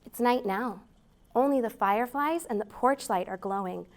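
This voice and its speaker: An American woman hosting a children's storytime, reading aloud in an expressive, deliberate way for young listeners. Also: a little high. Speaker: library storytime host.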